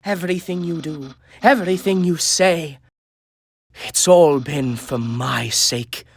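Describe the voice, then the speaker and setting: A man voicing a vain, naive cartoon skeleton from a video game, with a grandiose, theatrical delivery that jumps between hushed asides and loud exclamations. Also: a little high, slow, breathy edge, no echo, full character voice. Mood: tender, solemn. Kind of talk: amateur video game character dub. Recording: voice over background music.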